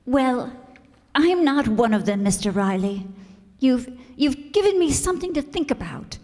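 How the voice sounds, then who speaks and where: An actress playing a grieving, reclusive war widow in a radio drama, genteel and wistful in a measured, formal way. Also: female voice, sits high, big pitch swings, darkish tone. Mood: softened, grateful. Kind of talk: old-time radio drama acting. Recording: reverberant hall stage recording.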